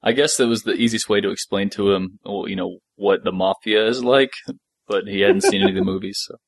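A man with a fairly low voice chats as a podcast co-host. The sound is dry, with very little room echo, and his talk is informal and conversational. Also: steady tone, fairly quick. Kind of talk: casual chat.

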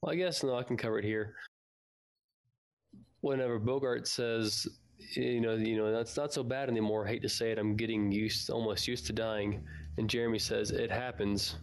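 Male fan-podcast co-host, fairly low and creaky voice, chatty, informal commentary. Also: steady tone, normal speed. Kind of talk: casual chat about an audio drama.

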